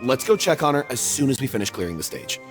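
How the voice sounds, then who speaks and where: Quick, eager stagehand. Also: male voice, mid-range voice, very animated, music in the background. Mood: hurried. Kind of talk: anime-style video game voice acting.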